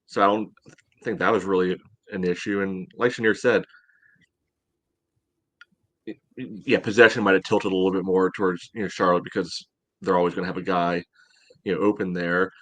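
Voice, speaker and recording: Low-voiced man talking sport, very dry sound. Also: level tone, moderate pace, long pauses, a bit bright, no room sound. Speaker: podcast co-host. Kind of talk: casual sports analysis.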